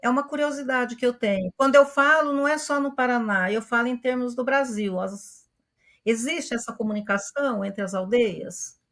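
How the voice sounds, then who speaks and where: A woman's voice: on the high side, normal speed, a bit bright. A woman who is a teacher, asking a guest speaker a long, courteous question. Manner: polite, elaborate phrasing.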